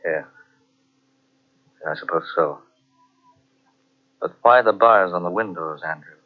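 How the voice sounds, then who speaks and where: A man's voice: sits low, slow. An actor playing an anxious male houseguest in an old radio drama, talking with urgent insistence. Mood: uneasy. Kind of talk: 1940s radio acting. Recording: dull old radio transcription.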